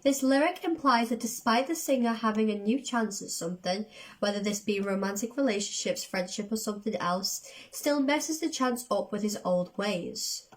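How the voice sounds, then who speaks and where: A woman chatting casually as the host of a reaction video, excitable, her voice going a little from a cold. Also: medium pitch, normal speed. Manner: excitable, self-described overdramatic. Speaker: host of a music reaction channel.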